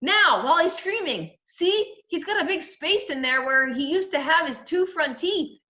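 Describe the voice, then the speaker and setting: A woman's voice: sits high, lively intonation, normal speed, sharp tone, dull audio, voice pushed up. A woman voicing an observant, matter-of-fact schoolboy in a storybook, emphatically pointing things out. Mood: urgent.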